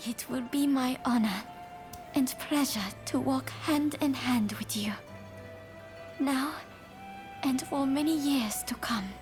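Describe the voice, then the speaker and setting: Gentle widow of old Japan, high voice, breathy, earnest, unhurried, game cutscene, clear music underneath. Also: female voice. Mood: warm, shy. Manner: soft, breathy, formal and measured. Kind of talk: dramatic video game cutscene acting.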